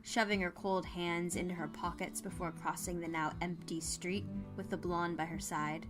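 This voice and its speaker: A woman's voice: fairly low pitch, fairly even intonation, normal speed. Woman narrating a story over music.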